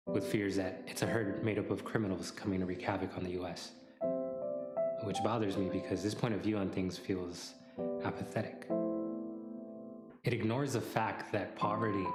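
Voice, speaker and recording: A man with a very low voice narrates from a script. The recording is roomy, with loud plucked guitar playing behind him. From US-Mexico border region. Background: loud plucked guitar music.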